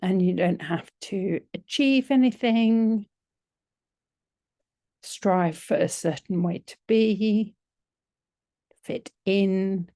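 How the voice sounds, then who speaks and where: A woman teaching yoga nidra, leading a guided relaxation in a gentle, soothing, unhurried manner with long pauses. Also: medium pitch, dry recording, clean studio sound.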